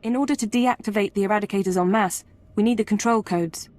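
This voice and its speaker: An actress playing a self-assured, analytical intelligence officer in a video game, formal and controlled. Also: female voice, medium pitch, fast, darkish tone, close to an everyday voice. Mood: businesslike. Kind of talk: video game drama acting.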